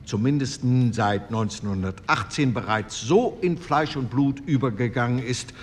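A man's voice: medium pitch, moderate pace, lightly acted. An actor playing a male postwar delegate, giving formal, argumentative parliamentary speech in a radio drama. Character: male postwar CDU delegate, earnest and persuasive. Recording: reverberant hall with faint room noise.

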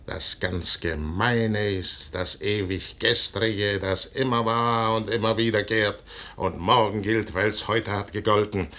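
An actor playing a proud, brooding middle-aged general in a 1950s radio play, declaiming in a weighty, solemn stage manner. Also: male voice, fairly even intonation, pushed and bright, exaggerated voice. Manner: declamatory, weighty, solemn delivery with measured pauses. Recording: noisy 1950s radio recording with rumble.

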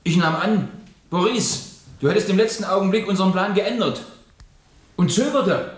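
An actor playing an agitated, earnest revolutionary in a radio play, speaking with troubled urgency. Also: male voice, sits high, moderate pace, lightly acted. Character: agitated, earnest male revolutionary bomb thrower. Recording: echoey room, off mic.

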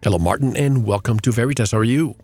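A man hosting a radio interview program, reading a scripted introduction and then questioning his guest in a measured, earnest way. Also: mid-range voice, a little mellow, no room sound, soft background music. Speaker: radio show host.

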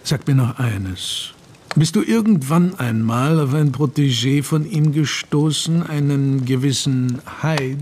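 An actor playing a thoughtful, inquiring gentleman in a radio play, measured and courteous. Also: medium pitch, lightly acted. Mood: probing. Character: thoughtful, inquiring gentleman telling a strange tale. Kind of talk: radio drama acting. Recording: faint noise and ambience behind.

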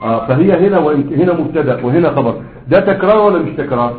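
A man's voice: on the high side, lively intonation, rapid-fire. A man with an Egyptian accent teaching a grammar class, quizzing his students with prompting questions as he explains. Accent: Egyptian Arabic. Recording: echoey room, phone-like sound.

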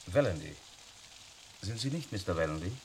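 An actor playing a polite but irritated lawyer in a vintage radio crime play, speaking formally with dry sarcasm. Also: male voice, low voice, big pitch swings, normal speed, a little hiss or hum. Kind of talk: 1950s-80s radio crime drama.

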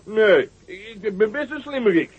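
An actor playing a boastful, childish young giant in a radio play, who giggles, stammers and whines with a raspy voice. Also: male voice, high voice, lively intonation, normal speed, narrow, tinny audio. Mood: gleeful boasting. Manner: giggling, proud and whiny, stammering. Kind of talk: radio drama acting.

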